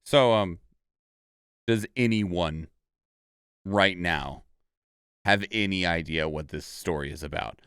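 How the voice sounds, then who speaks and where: Male. Smooth, dry-miked voice, rambling casual reaction commentary. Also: low-pitched, takes it slowly, pauses often, a bit bright, no echo. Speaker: reaction video host.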